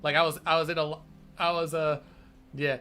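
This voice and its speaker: A man's voice: a little high, flat delivery, sharp tone, a little hiss or hum. A young man chatting casually as a streamer, playful and jokey, who grew up speaking Spanish. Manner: playful, jokey, laughs a lot, quick bursts. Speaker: streamer host. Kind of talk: casual chat.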